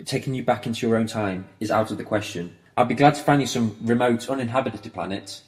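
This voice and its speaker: A man's voice: medium pitch, brisk, natural voice. A man playing a protective, impatient time-travelling adventurer in an audio drama, with a commanding, urgent manner. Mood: stern.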